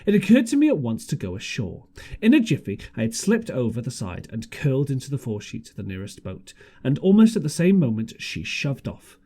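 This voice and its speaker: Male amateur audiobook reader, highly animated intonation, storybook narration with occasional stumbles. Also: brisk. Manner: stumbles over words and rereads phrases.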